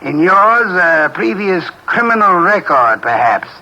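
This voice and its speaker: An actor playing a pompous, sharp-witted detective in a 1940s radio drama, speaking in a dry, sardonic, imperious way. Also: male voice, high-pitched, sing-song, measured pace, highs cut off, exaggerated voice.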